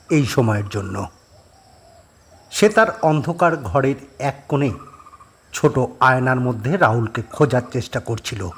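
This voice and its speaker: Animated male horror story narrator. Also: medium pitch. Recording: clearly noisy background.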